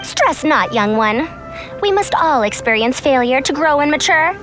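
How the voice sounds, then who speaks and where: High-voiced, pompous lady scholar. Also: expressive, moderate pace, over music. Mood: confident, lofty. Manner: pompous, formal, old-fashioned speech. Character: proud, self-important senior female scholar with a youthful voice. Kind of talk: scripted video game character acting.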